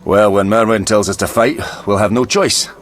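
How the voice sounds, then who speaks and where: A man's voice: on the low side, normal speed, slight background noise, close to an everyday voice. A man playing a crude, cynical dwarf mercenary in a video game, talking in a blunt, sardonic, earthy way. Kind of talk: video game dialogue acting.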